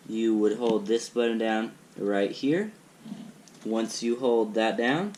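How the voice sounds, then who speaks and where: A man giving a casual unboxing demonstration in a halting way, often repeating and correcting himself. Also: on the low side. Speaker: product reviewer. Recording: noisy recording with loud background noise and clicks.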